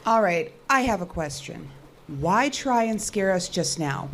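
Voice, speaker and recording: A woman's voice: lowish voice, expressive, a little slow, a bit bright, slight background noise. An actress playing a wary, no-nonsense female technician who takes charge in an audio drama. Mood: irritated, firm. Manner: no-nonsense, sardonic, assertive. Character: wary, blunt, take-charge tower technician woman. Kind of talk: naturalistic audio drama acting.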